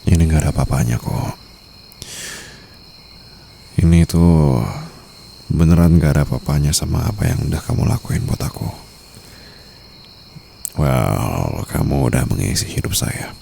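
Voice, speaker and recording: A man with an Indonesian accent acting a smitten, protective mafia boss in an intimate ASMR boyfriend roleplay, murmuring softly and teasingly. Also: very deep voice, expressive, unhurried, gravelly, long pauses, heavily acted. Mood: tender, teasing. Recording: faint night-time insect ambience noise.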